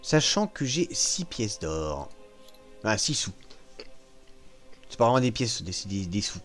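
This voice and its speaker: A man casually walking viewers through a strategy video game, joking at his own expense and pausing often with fillers. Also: on the low side, sing-song, a bit bright, soft background music. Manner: self-mocking, hesitant with frequent fillers. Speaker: gaming channel host. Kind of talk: casual gameplay walkthrough.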